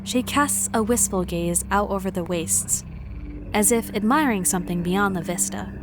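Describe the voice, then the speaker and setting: A woman playing a wary, determined traveler, giving measured, introspective first-person narration in a visual novel fan dub. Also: medium pitch, noisy background, close to an everyday voice. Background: music.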